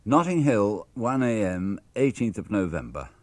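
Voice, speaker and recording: A man reading a father's letters aloud for a radio broadcast, in a measured, expressive manner with crisp phrasing. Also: fairly low pitch, no room sound. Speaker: reader of letters.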